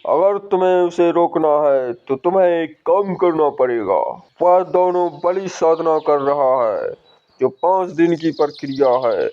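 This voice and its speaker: A man voicing a stern older male tantric sage in a storybook horror cartoon, giving grave advice. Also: on the high side. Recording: dull lo-fi sound.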